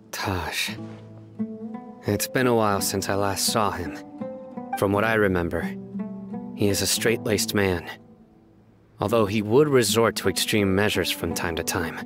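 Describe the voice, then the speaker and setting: An actor playing a stern, solemn lawman in a video game, speaking in a measured, serious way. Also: lowish voice, close to an everyday voice. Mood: pensive. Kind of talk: video game character dialogue. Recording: music behind voice.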